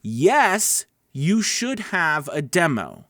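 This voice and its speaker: A man's voice: on the high side, sing-song, extremely slow, fairly bright tone, no room sound, studio quality. A man hosting an instructional vlog, talking to viewers in a conversational, emphatic way and often checking in with them. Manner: conversational, emphatic, checks in with "right?" and "you know". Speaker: host of a voice-over advice show.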